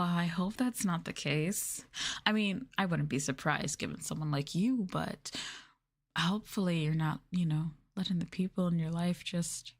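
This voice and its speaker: A woman streamer doing an ASMR roleplay, talking down to her listeners in a mocking, teasing, sultry way. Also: lowish voice. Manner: condescending, mocking, sultry taunting. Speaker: streamer, VTuber host.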